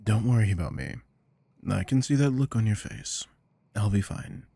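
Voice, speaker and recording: A man acting a weary, possessive, domineering office boss with a deep voice, in a scripted ASMR roleplay. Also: normal speed, gravelly, warm tone, slightly rough, no room sound. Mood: softer, tired.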